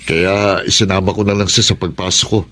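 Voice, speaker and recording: Caring husband, fairly low male voice, respectful, radio drama, noisy ambience behind. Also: fairly even intonation, fairly quick, bright, forceful tone. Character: concerned, supportive husband and radio co-worker. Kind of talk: Filipino radio drama acting.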